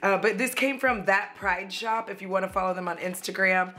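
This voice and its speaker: A young woman with a drawl talks through a makeup session at home, rambling happily and breaking into laughs. Her voice is a little hoarse, as she is losing it, and she keeps up a self-deprecating, casual flow. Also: medium pitch, steady tone, a little fast, bright, forceful tone. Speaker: beauty vlogger. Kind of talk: casual chat while doing makeup. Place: at home, indoors.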